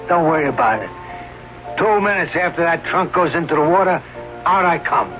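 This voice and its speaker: An actor in a radio drama playing a boastful, stubborn aging escape artist who talks in brash slang. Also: male voice, fairly high pitch, normal speed, lightly acted. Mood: confident. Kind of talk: old-time radio drama acting. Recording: dull radio recording, loud music.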